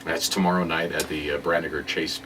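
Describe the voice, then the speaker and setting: A man's voice: rumbling low, level tone, a little fast, far from the mic. A man interviewing casually on the radio, with an informal, loosely phrased way of talking. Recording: noisy, off-mic radio interview recording.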